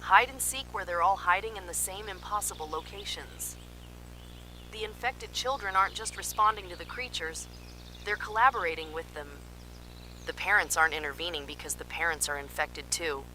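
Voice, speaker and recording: Casting: actress as alarmed female field scientist for analog horror. Bright, tense delivery, urgent yet clinical, with faint noise on the recording. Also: on the low side, normal speed, rough recording. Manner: urgent, dramatic, clinical reporting. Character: alarmed female park scientist transmitting desperate emergency warnings. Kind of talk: analog horror emergency narration, field report monologue.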